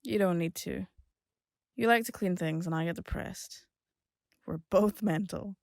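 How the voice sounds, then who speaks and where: A woman's voice: on the low side, unhurried, vocal fry, a little mellow, no echo, studio quality. A woman acting a fed-up, blunt young adult daughter in an audio drama, conversational and sardonic. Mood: apologetic, candid.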